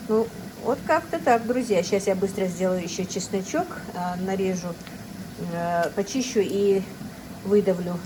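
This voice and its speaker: A woman's voice: mid-range voice, moderate pace, echoey room, recorded from a distance, background noise. Chatty middle-aged woman. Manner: chatty, keeps calling the viewers friends. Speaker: cooking vlogger. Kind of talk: casual cooking vlog. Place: home kitchen.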